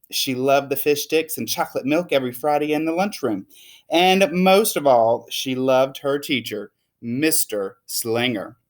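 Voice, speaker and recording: A male teacher reads a picture book aloud for children. His voice sits at a middle pitch and rises and falls dramatically. The delivery is expressive and emphatic. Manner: animated, emphatic. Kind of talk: expressive children's story reading.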